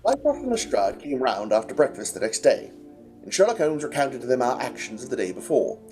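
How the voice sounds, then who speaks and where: A man acting an earnest Victorian gentleman, a loyal sidekick who narrates an audio drama in formal style. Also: medium pitch, big pitch swings, fairly quick, soft background music, lightly acted. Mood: measured, narrating.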